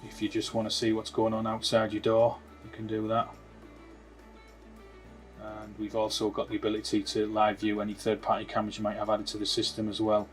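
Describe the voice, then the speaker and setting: A man's voice: lowish voice, monotone, a little fast, soft background music. A man presenting a product demonstration, explaining it informally step by step as a technical tutorial.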